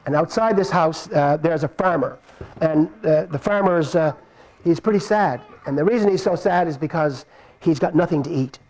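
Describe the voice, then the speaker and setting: A man telling a folk tale aloud in a live puppet show, with lively, repetitive phrasing. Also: fairly high pitch, moderate pace, low-quality recording. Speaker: storyteller.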